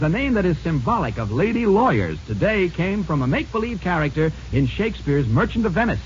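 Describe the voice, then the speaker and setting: High-pitched, animated old-time radio quizmaster, male. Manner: chatty, teasing banter with contestants. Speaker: quiz show host. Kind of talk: 1940s radio quiz hosting. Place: radio studio with audience. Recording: muffled, noisy old radio recording.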